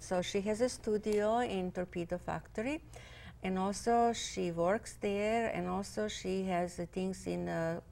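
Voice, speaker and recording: A woman's voice: mid-range voice, creaky, a little mellow. A middle-aged woman hosting a cable fashion interview show, speaking in with many fillers and hesitations. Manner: hesitant, many fillers, non-native grammar.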